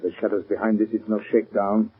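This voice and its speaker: A ruthless American crime boss in an old radio drama. He is cold, curt and domineering, with a rough rasp in his voice. The sound is narrow and tinny, like a vintage broadcast. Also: on the low side, little pitch movement, quick pace. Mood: stern. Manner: curt, commanding. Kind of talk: 1930s-40s radio crime drama acting.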